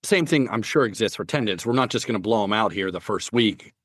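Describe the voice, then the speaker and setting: A man's voice: on the low side, lively intonation, fairly quick, fairly bright tone, dry recording, clean studio sound. A man hosting a podcast, asking his guest short, curious questions and agreeing easily. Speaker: podcast host. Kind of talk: casual podcast interviewing.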